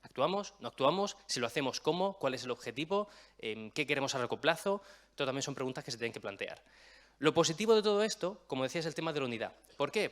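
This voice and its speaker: A man's voice: fairly high pitch, a bit bright. A young man from Murcia who has lost his regional accent, giving a chatty explanatory public talk to an audience. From Murcia, Spain. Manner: chatty, explanatory, self-deprecating asides. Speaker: guest speaker.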